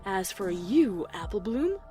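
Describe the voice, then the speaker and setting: A woman voicing a stern, disappointed pony schoolteacher in a cartoon fan fiction story, admonishing in a measured classroom manner. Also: mid-range voice, sing-song, warm tone, faint music behind.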